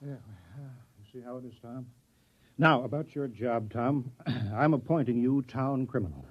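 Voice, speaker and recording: A man's voice: medium pitch, measured pace, breathy edge. A man playing a fussy, fretful and bossy colony mayor in an old-time radio comedy-drama. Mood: insistent. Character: fussy, flustered small-town colony mayor. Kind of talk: 1950s radio acting. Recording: muffled old radio broadcast.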